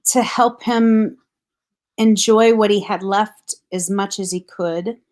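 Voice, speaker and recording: Middle-aged woman counselor, middle pitch, earnest and reflective, light chuckles. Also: steady tone, slow pace. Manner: thoughtful, earnest, laughs softly. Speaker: grief counselor guest. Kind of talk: reflective casual conversation.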